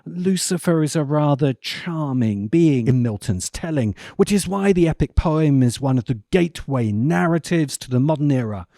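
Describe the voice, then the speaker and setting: A man narrating a scripted video essay in a measured way, with emphatic pauses and wry asides. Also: on the high side, lively intonation, warm tone, no room sound, studio quality.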